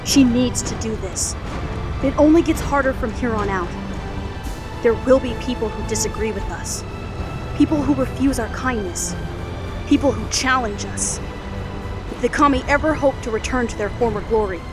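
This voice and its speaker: Woman as a stern, higher-voiced little boy god. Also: normal speed, long pauses, natural voice. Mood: grave resolve. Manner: firm, unyielding. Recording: music behind the voice.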